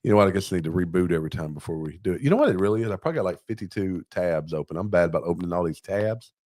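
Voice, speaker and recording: Male podcast host, slightly low register, conversational and informal, relaxed banter delivery. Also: very fast. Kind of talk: casual live podcast banter.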